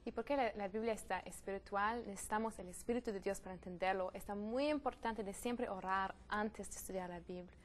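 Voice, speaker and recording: A woman's voice: mid-range voice, fairly quick, few pauses, slight background noise. A woman from Canada, a Bible worker, speaking Spanish as a with awkward grammar on a discussion panel. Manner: non-native Spanish with odd grammar, thoughtful.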